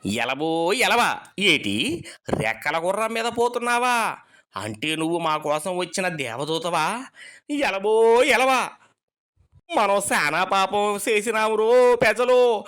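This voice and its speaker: A woman's voice: medium pitch, a bit bright. A woman voicing a bossy old male dwarf king who talks in a rustic village dialect and drags out a chanted refrain.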